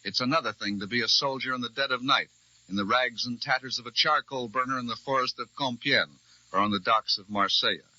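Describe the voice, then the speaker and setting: An American man reading scripted news as a formal, measured radio correspondent in a 1940s broadcast. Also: mid-range voice, pushed and bright, no room sound. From United States. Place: radio newsroom. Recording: dull vintage 1940s radio broadcast recording.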